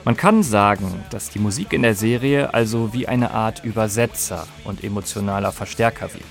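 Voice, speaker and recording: A man's voice: fairly low pitch, normal speed, creaky, faint music behind. A man presenting a scripted podcast documentary in an explanatory way, speaking directly to listeners. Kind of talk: scripted music documentary narration.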